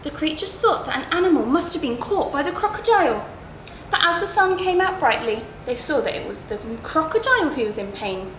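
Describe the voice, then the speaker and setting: High-voiced woman reading a bedtime story. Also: very animated, brisk, a bit bright. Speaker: story reader at a school. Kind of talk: expressive children's bedtime story narration. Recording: roomy echo, clear background noise.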